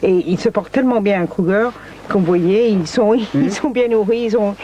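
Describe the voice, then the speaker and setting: Woman animal expert in an outdoor interview, average pitch, explains stuff, stops and hunts for words. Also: mellow, muffled recording, slight background noise. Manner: explanatory, halting, searching for words. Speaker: wildlife expert guest. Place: outdoors in a national park.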